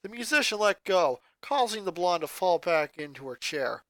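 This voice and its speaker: A fan reader narrating a story in an animated way. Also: mid-range voice, moderate pace, no echo. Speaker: fanfic reader.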